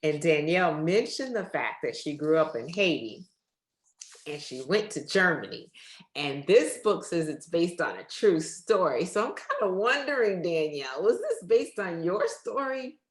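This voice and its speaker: A woman's voice: fairly low pitch, very animated, measured pace. A woman hosting an upbeat faith talk show, speaking effusively and addressing her audience directly. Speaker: interview show host. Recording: roomy, echoey sound.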